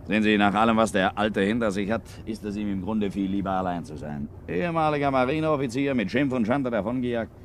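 An actor playing a cynical, scheming middle-aged ship's officer in a 1950s radio drama, sardonic and slyly insinuating. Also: male voice, lowish voice, quick pace, hardly any pauses, close to an everyday voice. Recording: hissy 1950s radio recording.

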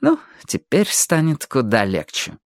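A woman's voice: a bass voice, huge pitch swings, a little slow, no room sound, clean studio sound, heavily acted. A woman voicing a self-assured young man in an audiobook, nonchalant and slyly condescending. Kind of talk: audiobook character voice.

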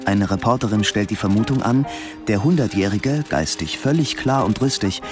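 An actor playing a dry, officious police log announcer in an audio drama, reading reports in formal, clipped phrases. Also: male voice, on the low side, lively intonation, moderate pace, natural voice. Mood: formal, factual. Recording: radio drama production with music.

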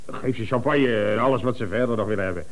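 A man plays a self-important company director in a radio comedy. He has a mid-pitched voice and blusters emphatically, sure he is never wrong. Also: fast talker, natural voice. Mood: self-satisfied, commanding. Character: pompous, infallible, domineering male company director.

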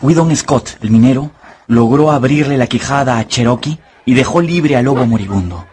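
A man's voice: medium pitch, moderate pace, a little mellow, a little hiss or hum, close to an everyday voice. A man narrating a radio drama as a dramatic storyteller reading scripted prose.

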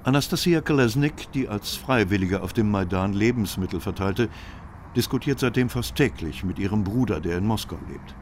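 A man reading scripted documentary narration in a measured, even way. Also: on the low side, slight background noise.

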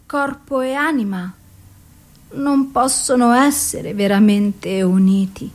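An actress in a radio theatre monologue, playing a despairing, depressed woman who speaks slowly and intensely, bitter and raw. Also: fairly high pitch. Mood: despairing, longing. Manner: slow, intense, bitter delivery with heavy pauses.

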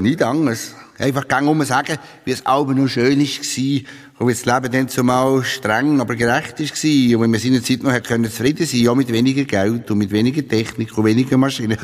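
A man with a Swiss German accent playing a grandiloquent literary speechmaker who declaims a mock-solemn eulogy with drawn-out emphasis. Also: medium pitch, natural voice. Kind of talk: theatrical satirical eulogy. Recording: long reverberant hall.

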